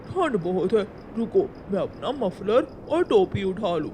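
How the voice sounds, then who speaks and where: Woman voicing a lively, concerned cartoon crow. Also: mid-range voice, unhurried, noisy background. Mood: kindly. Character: helpful kindly crow, a concerned neighbour.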